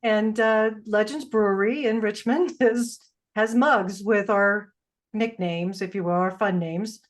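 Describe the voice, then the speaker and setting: A woman's voice: mid-range voice, fairly even intonation, unhurried. A middle-aged woman hosting a podcast, telling anecdotes in a playful, irreverent, casual way. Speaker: podcast host.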